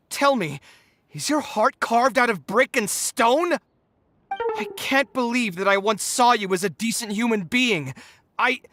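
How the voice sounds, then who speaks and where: A man's voice: very high voice, a bit bright, slight background noise, exaggerated voice. An actor playing an idealistic young male architect in a video game, earnest, exasperated and reproachful. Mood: reproachful, appalled. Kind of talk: dramatic video game voice acting.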